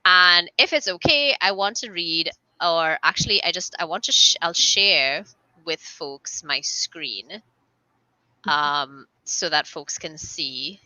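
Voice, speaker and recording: A woman's voice: fairly low pitch, expressive, slow pace, pushed and bright, dry recording, rough recording. A woman hosting a casual video-call interview, talking emphatically and laughing often. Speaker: host.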